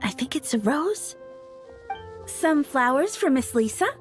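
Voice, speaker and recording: A woman's voice: high voice, sing-song, unhurried, soft background music. A woman voicing an earnest young flower shop assistant in a video game, chirpy, polite and slightly shy. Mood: helpful, shy. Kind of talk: video game character acting.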